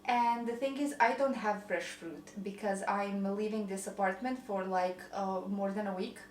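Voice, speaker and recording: A woman's voice: medium pitch, moderate pace, reverberant, slight background noise, rough recording. A young woman with a Croatian accent, chatting casually and rambling a little while she bakes at home, often laughing at herself. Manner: chatty, rambling, self-deprecating. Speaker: vlogger. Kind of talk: casual kitchen chat. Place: home kitchen.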